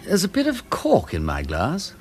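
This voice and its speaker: An actor playing a breezy, inquisitive aristocratic gentleman detective in a radio drama, with an upper-class English accent and airy slang. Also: fairly high pitch, huge pitch swings, normal speed, soft background music. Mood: airy, probing. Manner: airy, drawling slang, casual questioning.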